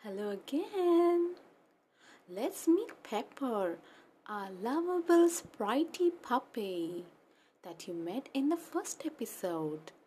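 A woman's voice: a little high, big pitch swings, takes it slowly, dark tone. A woman hosting and telling stories for children, speaking directly to her young listeners. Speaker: storyteller and host for children. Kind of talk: children's storytelling. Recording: faint background noise.

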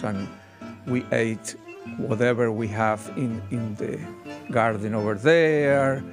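An older man from Argentina, recounting his childhood as a guest in an interview with soft laughs. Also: on the low side. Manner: unhurried, warm recollection with soft laughs. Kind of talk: interview storytelling.

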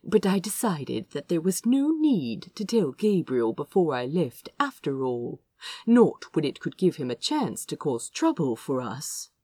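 A woman's voice: deep voice, big pitch swings, a little slow, warm tone, breathy edge, dry recording, exaggerated voice. A woman voicing a fussy, well-mannered prince who talks in a prim, nervous, wordy rush, as in an audiobook. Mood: excited, smug.

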